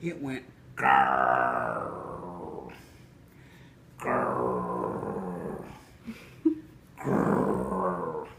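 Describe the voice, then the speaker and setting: A man voicing a mysterious rumbling night-time noise from a storybook, with long growls and repeated sounds. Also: sing-song, very slow, gravelly, pauses often, a bit bright, heavily acted. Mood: menacing. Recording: roomy home recording with faint noise.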